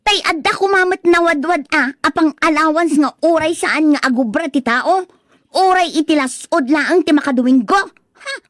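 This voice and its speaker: A woman's voice: high-pitched, normal speed, a bit bright, dry recording, studio quality. A woman playing an exasperated young wife and mother in a radio drama, whining and scolding sharply. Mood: fed up, scolding. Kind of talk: Filipino radio drama acting.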